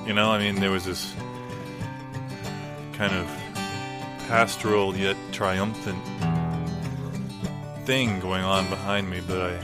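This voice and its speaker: Hesitant low man playing a bewildered performer, guitar behind. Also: little pitch movement. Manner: hesitant, halting, conversational. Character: bewildered man recounting being stuck wordless onstage. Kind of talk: improvised spoken comedy monologue over music. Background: guitar music.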